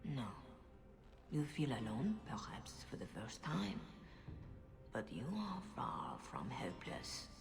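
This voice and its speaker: An actress playing a mysterious, solemn female mentor, speaking in a hushed, grave, consoling way in a video game. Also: very deep voice, wildly swooping pitch, slow pace, whispered, music in the background, exaggerated voice. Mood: consoling, firm. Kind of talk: video game cutscene acting.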